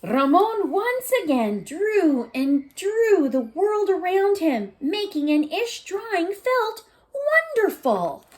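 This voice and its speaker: Woman, high voice, slow deliberate pace, children's read-aloud, teacherly, gently questioning. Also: very animated, slight background noise. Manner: gentle questioning, prompting listeners to think. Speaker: teacher reading a picture book aloud. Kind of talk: expressive children's read-aloud with asides to listeners.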